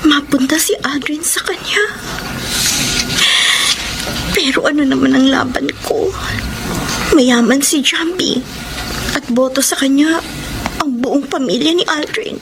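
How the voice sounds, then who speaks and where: High-voiced young sweetheart. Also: female voice, measured pace, very noisy. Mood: worried, sad. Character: young woman in love, worried, reproachful sweetheart. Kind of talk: Filipino radio romance drama acting.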